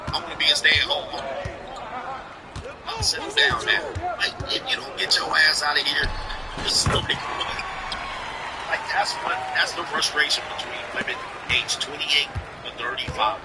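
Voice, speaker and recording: A man's voice: fairly high pitch, heavy background noise. A man in his forties hosting a live stream, ranting bluntly and profanely in a casual, opinionated way. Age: 40s. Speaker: livestream host. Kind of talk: casual livestream rant.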